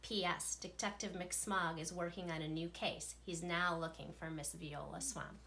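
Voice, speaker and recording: A woman, a teacher, reading a children's picture book aloud with playful, expressive delivery. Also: lowish voice, normal speed, creaky, slight background noise. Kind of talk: storybook read-aloud.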